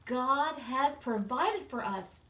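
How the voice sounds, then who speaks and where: A woman's voice: high voice, expressive, slow pace, a bit bright, roomy sound, distant mic, voice pushed up, heavily acted. Woman as earnest, devout storybook Mama George. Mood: moved, grateful. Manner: earnest, prayerful. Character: devout, loving village mother, Mama George.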